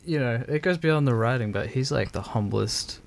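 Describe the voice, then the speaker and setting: Gravelly young man, chatty and laughing. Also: mid-range voice, moderate pace, slight background noise. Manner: chatty, laughs often, slangy. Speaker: band drummer. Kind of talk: casual interview chat.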